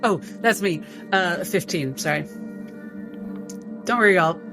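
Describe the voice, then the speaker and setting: A woman playing a tabletop game, narrating her character's actions in a halting, dramatic way. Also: on the low side. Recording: music bed under the voice.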